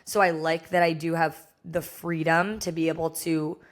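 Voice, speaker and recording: A young American woman with a hoarse voice, talking candidly and casually as the solo host of a podcast. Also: sits low, flat delivery, moderate pace. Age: young adult. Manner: chatty, candid, frequent "like".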